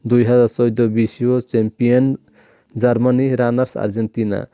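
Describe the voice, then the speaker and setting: A man with a Kokborok accent hosts his channel, reading a long list in steady turns. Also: mid-range voice, very fast, clean tone, dry recording. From Tripura, India. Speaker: channel host. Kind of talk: reading a list in a home video.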